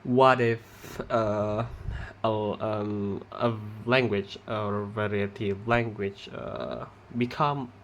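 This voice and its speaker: A young man from Indonesia, a non-native English speaker with an Indonesian accent, giving a halting class presentation with many pauses and restarts. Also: fairly low pitch, steady tone, fairly bright tone, dull audio, slight background noise. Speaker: student presenter.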